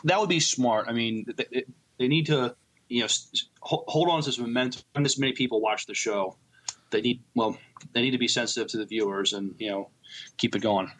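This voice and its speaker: A man co-hosting a podcast with casual news chat, haltingly repeating words as he goes. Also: mid-range voice, fairly bright tone, poor audio. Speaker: podcast co-host.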